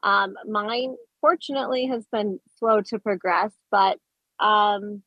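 A woman with a husky, breathy voice talks in a bubbly, chatty way as a radio guest. The sound is dull and lo-fi, like a call-in line, and very dry. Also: mid-range voice, slow, dry recording. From Colorado. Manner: bubbly and chatty, laughs easily. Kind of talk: casual interview chat.

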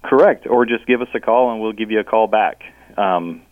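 A man's voice: fairly low pitch, fairly quick, dry recording. A man calling into a radio show as a guest for a charity, talking hesitantly with many ums and uhs. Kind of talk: casual radio interview. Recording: tinny phone line.

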